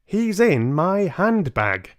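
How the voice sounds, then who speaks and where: Man doing old granny, high pitch, polite, careful, insistent, storybook character, unhurried. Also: very animated, exaggerated voice. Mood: bristling, firm. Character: polite but firm elderly grandmother.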